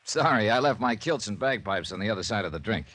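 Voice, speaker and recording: An actor playing a wry, wisecracking freelance insurance investigator in 1950s radio detective style. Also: male voice, fairly low pitch, animated, fast talker, noisy background, close to an everyday voice. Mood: wry, teasing. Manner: wisecracking, hard-boiled, nonchalant. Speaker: lead actor and narrator. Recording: old radio recording with music.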